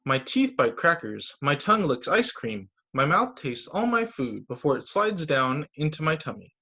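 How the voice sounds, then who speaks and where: A man reading children's picture books aloud as a story time teacher, in an expressive, sing-song storytelling manner with short asides, over a video call. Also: on the high side, moderate pace, husky.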